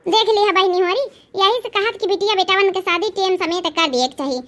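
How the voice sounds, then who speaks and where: Woman as a shrill, scolding Awadhi village mother. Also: a little fast, a bit bright. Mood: moralising. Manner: scolding, moralising. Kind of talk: cartoon comedy voice acting.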